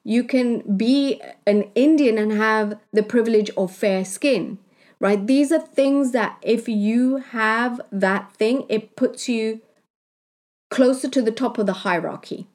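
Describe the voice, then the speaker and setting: A woman from Kenya, a spiritual healer, talking in a slow, deliberate monologue that she punctuates with "right?". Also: fairly high pitch, a little mellow. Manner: slow, deliberate, with tag questions. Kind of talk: reflective monologue.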